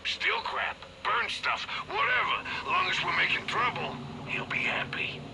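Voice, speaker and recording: An actor playing a rough, cynical street criminal in a video game, slangy and streetwise. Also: male voice, sits high, wildly swooping pitch, unhurried, exaggerated voice. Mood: nonchalant. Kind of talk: dramatic video game acting. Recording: very heavy engine-like ambient noise.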